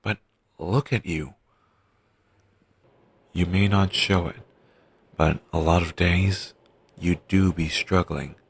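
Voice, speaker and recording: A man playing a weary, caring undead best friend in an intimate audio roleplay, talking casually and earnestly with halting phrases. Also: sits low, fairly even intonation, gravelly, breathy edge, exaggerated voice. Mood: worried, gentle. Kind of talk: audio roleplay, intimate one-sided dialogue.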